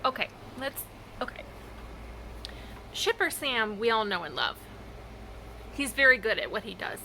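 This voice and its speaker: A woman talking casually in a reaction vlog, with a halting, expressive fangirl delivery and dramatic pauses. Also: fairly high pitch, a little hiss or hum, low-quality recording. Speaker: vlogger sharing reactions to fan fiction.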